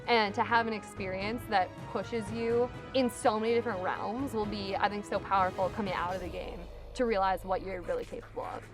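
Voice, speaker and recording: Young woman, normal pitch, reality show interview, music under her. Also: steady tone, brisk, hardly any pauses. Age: young adult. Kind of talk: to-camera contestant interview. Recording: loud music bed.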